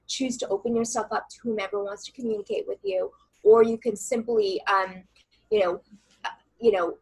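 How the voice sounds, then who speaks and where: A woman channeler and coach with a loud voice, earnestly talking a listener through a writing meditation in a casual interview over a video call. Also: mid-range voice, slightly rough, low-quality recording. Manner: earnest and reassuring, many fillers.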